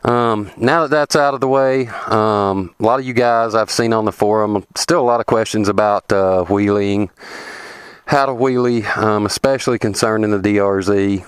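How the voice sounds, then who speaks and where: A man with a Southern US accent giving a rambling, relaxed how-to talk outdoors on a remote forest road, a little congested from a cold. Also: lowish voice, normal speed, clear, resonant tone, no echo. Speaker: motorcycle vlogger. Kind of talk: casual how-to talk to camera. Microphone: helmet camera.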